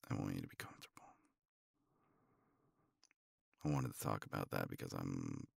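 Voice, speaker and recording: An actor as an older boyfriend in a romance audio roleplay, with an extremely low voice full of heavy fry. He murmurs haltingly, tender and teasing, very close and dry, as if talking to one person beside him. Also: fairly bright tone, no echo, heavily acted. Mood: tender, vulnerable. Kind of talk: intimate romance ASMR roleplay.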